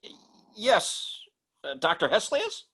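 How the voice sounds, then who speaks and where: Haunted young narrator for radio horror: nervous, halting, slow, with big swings in pitch. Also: male voice, huge pitch swings, pushed and bright, husky, full character voice. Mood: anxious, stammering. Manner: halting, stammering delivery. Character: anxious young man narrating a supernatural horror tale. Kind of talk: old-time radio drama acting. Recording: old-time radio drama with faint music.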